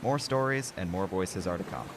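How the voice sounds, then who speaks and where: A man's voice: low voice, expressive, normal speed, noisy background. A man hosting an audio drama anthology with scripted, dramatic narration. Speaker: narrator, host.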